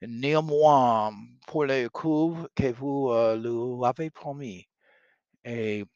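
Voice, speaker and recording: A man's voice: mid-range voice, slow, a little mellow, clear, resonant tone, dry recording, highs cut off, natural voice. Man reading as careful boy interpreter. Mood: dutiful. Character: young boy servant interpreting between soldiers. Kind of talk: Shakespeare play read-aloud.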